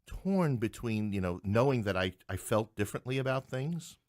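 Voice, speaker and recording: A man's voice: medium pitch, animated, normal speed, a little mellow, no room sound, clean studio sound. A middle-aged man from Queens, New York, talking as an interview guest in a talkative, digressive, wryly joking way. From Queens, New York City. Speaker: interview guest, playwright and English professor. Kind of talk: anecdotal interview conversation.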